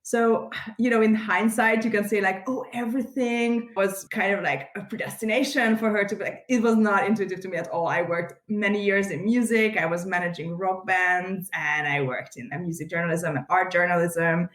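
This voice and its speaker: A woman from Hungary, an animated podcast guest who laughs easily and fills her talk with "like" and "you know", speaking over a video call. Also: medium pitch, moderate pace, hardly any pauses. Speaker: guest, community founder. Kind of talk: casual interview chat. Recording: echoey room on a video call.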